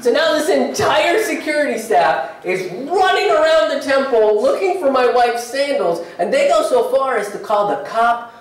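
A man in his thirties tells a stand-up story with a lively, swooping voice, jumping up high for the lines he acts out. He is heard from a distance through a handheld mic in a very echoey hall. Faint room sound sits behind him. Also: moderate pace, few pauses. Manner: animated storytelling, acting out quotes with big pitch jumps. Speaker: stand-up comedian. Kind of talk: stand-up comedy set. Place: theater stage in front of a curtain, live audience. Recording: roomy hall audio from a distance.

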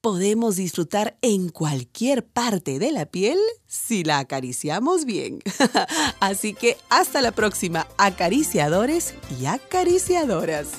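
A woman's voice: mid-range voice, big pitch swings, slow pace, soft background music. An actress playing a frank, playful woman doctor who hosts a radio advice show in a teasing, instructive way. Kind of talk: radio drama advice programme.

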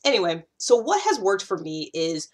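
A woman's voice: medium pitch, normal speed. An American woman in her thirties talking casually to camera, conversational and emphatic, repeating points for stress. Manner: conversational, animated gesturing, emphatic repetition. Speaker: YouTube host sharing self-growth advice. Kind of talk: casual direct-to-camera talk.

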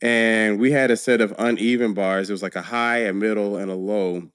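Man, laughing storyteller, fairly low voice, close dry mic. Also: brisk, smooth, dry recording. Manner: animated storyteller, laughs often. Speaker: guest, actor and performer. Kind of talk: casual interview chat. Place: podcast studio. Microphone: studio mic on boom arm, headphones.

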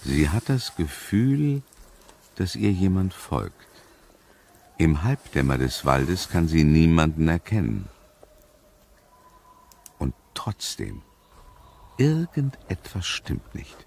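A man narrating a scripted audio drama in a measured, suspenseful manner. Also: low-pitched, very animated, creaky, mellow, slightly rough. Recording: music under the voice.

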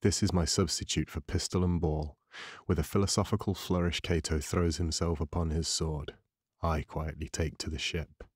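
Deep, husky male audiobook narrator. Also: level tone, normal speed, dry recording, studio quality. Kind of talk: scripted literary audiobook narration. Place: dry studio voice-over recording.

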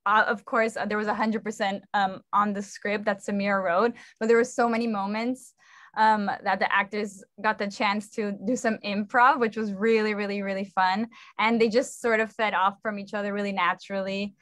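A woman's voice: mid-range voice, level tone, normal speed, a bit bright, dry recording, studio quality. A young adult woman speaking conversationally as a theatre director on a video call. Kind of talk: conversational explanation on a panel. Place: indoor room on a video call. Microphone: video call microphone.

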